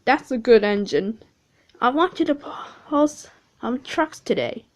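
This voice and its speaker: A woman's voice: on the high side, expressive. A woman voicing a stern but fair older male railway controller who speaks firmly and steadily. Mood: approving. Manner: firm and measured.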